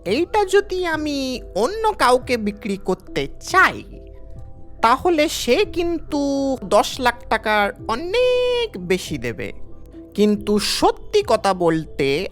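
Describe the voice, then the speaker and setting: A man plays a sly cartoon villager putting on a pitiful, sickly act. The delivery is slow and halting, with big theatrical swings in pitch and pleading throughout. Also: a bit bright, exaggerated voice. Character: wily village man feigning grave illness, pleading. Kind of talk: cartoon story acting.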